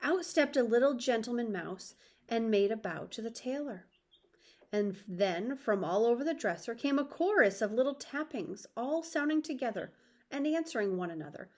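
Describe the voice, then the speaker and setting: A woman's voice: a little high, animated, moderate pace. A woman reading a children's story aloud expressively, with asides to the listener.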